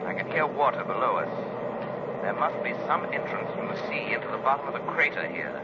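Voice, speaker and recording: An actor playing a commanding, quick-thinking mystery crime-fighter in an articulate, urgent 1930s radio drama style. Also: male voice, medium pitch, big pitch swings, lightly acted. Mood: grave, explanatory. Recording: noisy, muffled 1930s radio recording.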